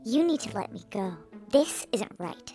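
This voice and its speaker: A woman's voice: medium pitch, lively intonation, a little slow, warm tone, soft background music. An actress playing an indignant young woman who has been shrunk and held captive.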